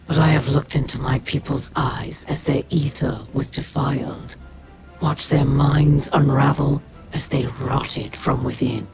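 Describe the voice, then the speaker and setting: Grave noble alien leader in a game, slow n weary, music loud underneath. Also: male voice, on the high side, low-quality recording. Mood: grim resolve. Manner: measured, solemn. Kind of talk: video game cutscene narration.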